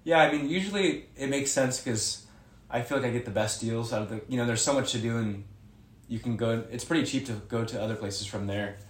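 A man's voice: medium pitch, fairly quick. Chatty young man in an echoey room. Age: young adult. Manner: rambling, self-correcting, chatty. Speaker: podcast guest. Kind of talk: casual interview chat.

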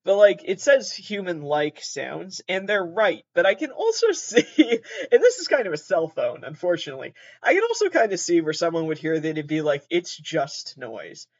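A man's voice: high voice, very animated, normal speed, no room sound, lo-fi sound. A man hosting a podcast in a very nasal voice, rambling casually, swearing freely and laughing at himself. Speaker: podcast host.

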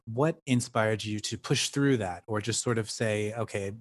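A man from Canada hosting a podcast interview, chatty and halting, laughing often. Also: on the low side. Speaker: podcast host. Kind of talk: conversational interview.

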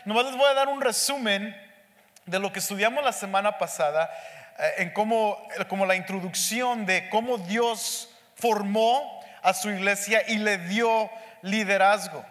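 Hombre de voz aguda, predicando en una sala con eco. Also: male voice, high voice, a bit bright. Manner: speaks slowly and deliberately, mixing in English words. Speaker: pastor teaching a Bible study. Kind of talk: sermon teaching. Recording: echoey hall with long reverb.

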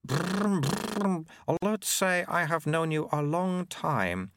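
Man voicing baby-bodied old abbot, imperious, babbling, high, lively, dry sound. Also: very slow, clear, resonant tone, dry recording, heavily acted. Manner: babbles baby sounds between commanding words.

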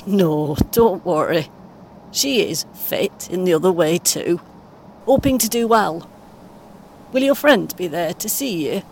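A woman playing a kindly, encouraging British grandmother in her eighties in an audio play, speaking gently and reassuringly. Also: animated, slow. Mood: friendly. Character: kindly, encouraging 81-year-old grandmother on a coach. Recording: clear vehicle rumble noise. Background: vehicle ambience.

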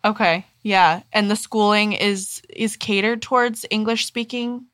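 A woman's voice: medium pitch, fairly even intonation, unhurried, a bit bright, no echo, studio quality. A woman hosting an interview, gushing and encouraging toward her guest. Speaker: podcast host. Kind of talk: casual interview chat.

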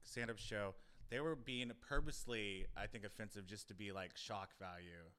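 A man co-hosting a comedy podcast, teasing in a deadpan, awkward way. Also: lowish voice, moderate pace, clean tone. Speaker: podcast co-host. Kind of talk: casual comedy podcast banter.

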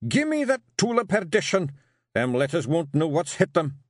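A man reading an audiobook, voicing a gruff small male warrior pixie chief with a Scottish accent who growls and blusters. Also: on the high side, sing-song, normal speed, no room sound, clean studio sound, pitched above the performer's own voice. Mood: blustering. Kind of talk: audiobook character voice.

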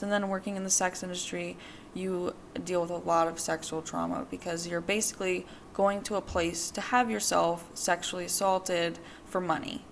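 Podcast host, female, 20s, gravelly, candid and rambling, faint hiss behind. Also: lowish voice. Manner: candid, rambling, with many fillers. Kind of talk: personal monologue.

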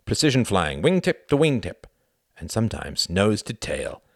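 A man's voice: lowish voice, sing-song, normal speed, no echo, clean studio sound, voice pushed up. A man voicing a boisterous young German pilot in an audiobook story, boastful and mocking in manner. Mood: cocky. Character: boisterous, cocky young German male pilots, militaristic swagger.

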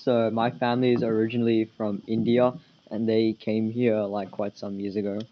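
A teenage student answering interview questions in a casual, hesitant way, full of fillers. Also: male voice, flat delivery, moderate pace, a little hiss or hum. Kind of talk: school podcast interview. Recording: dull low-fidelity school recording.

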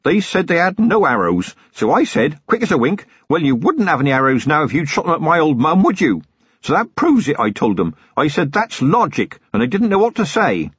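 An eager young country constable, as a male narrator puts him on rattles along in a raised, excited voice. He is chatty, breathless and proud of himself. The audiobook recording is dry and a little dull. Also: a little high, fairly quick, slightly rough, no echo, voice pushed up, heavily acted.

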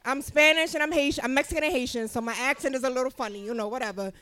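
A woman's voice: high-pitched, rapid-fire, fairly bright tone. A middle-aged woman from Philadelphia with a Philly accent, giving blunt, profane advice as co-host of a live podcast. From Philadelphia, USA. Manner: blunt, profane, laughing, preachy. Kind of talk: live podcast talk before an audience.

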